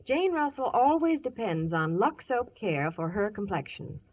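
Lively 1950s radio woman reporter. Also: mid-range voice, a little slow, husky. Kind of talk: scripted 1950s radio ad chat. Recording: dull lo-fi 1950s radio broadcast.

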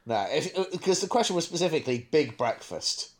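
A man's voice: on the high side, lively intonation, brisk. Male podcast co-host, casual and informal, feeling his way through sentences. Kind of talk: casual chat.

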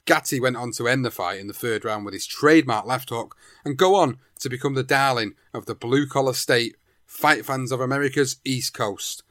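A man narrating a boxer's life story in a measured storytelling manner. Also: mid-range voice, a bit bright, no room sound, studio quality. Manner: measured storytelling, sentences opened with "now". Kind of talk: documentary narration.

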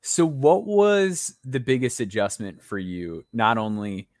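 A man's voice: mid-range voice, measured pace, no room sound, studio quality. A young man hosting a basketball interview show, eager and talkative, often repeating words as he goes, over a video call. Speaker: podcast host.

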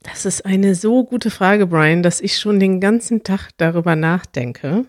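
A woman's voice: medium pitch, unhurried, no echo, studio quality. A woman co-hosting a podcast in casual chat, talkative and thinking aloud as she explains. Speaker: podcast co-host.

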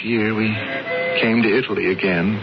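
An actor playing a desperate, obsessed sculptor in a radio drama, agitated, pleading and insistent. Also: male voice, on the low side, steady tone, normal speed, over music, close to an everyday voice. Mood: earnest, wistful. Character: desperate male sculptor, obsessed with proving his work. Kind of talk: 1970s radio drama acting. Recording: noisy, dull vintage radio broadcast.

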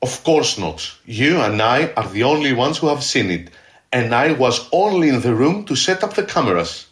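A man's voice: mid-range voice, very animated, normal speed, a bit bright. Man playing an earnest, awestruck scientist, roomy sound. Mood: proud, excited. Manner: enthusiastic, emphatic. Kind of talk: audio drama acting.

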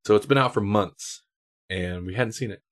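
A man's voice: on the low side, normal speed, a bit bright. A man hosting a casual film discussion on a podcast. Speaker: podcast host.

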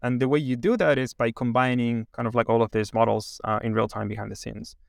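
A man's voice: medium pitch, steady tone, vocal fry, no room sound, clean studio sound. A man from Chile in his thirties, founder of an AI company, demoing and chatting casually with lots of fillers and restarts. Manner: halting, many fillers and restarts. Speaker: AI company founder giving a demo. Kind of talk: casual interview.

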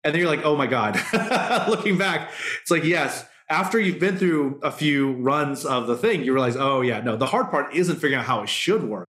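A man's voice: mid-range voice, expressive, normal speed, a bit bright. Man, podcast host, musing, discursive, casual, echoey room. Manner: musing, discursive, filler-heavy. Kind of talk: casual conversational interview.

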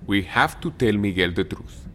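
Audio drama: smooth, slippery revolutionary chief, forceful tone, lively intonation. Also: male voice, on the low side, normal speed, slight background noise. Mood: reassuring. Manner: smooth-talking, hesitant when challenged. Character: vain, affable, evasive male revolutionary leader.

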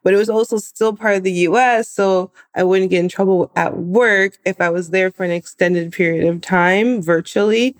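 A woman in her twenties from California, telling her own story in a lively, animated way. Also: medium pitch, moderate pace, few pauses. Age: 20s. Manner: lively, animated retelling with laughs. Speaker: guest. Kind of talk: personal storytelling.

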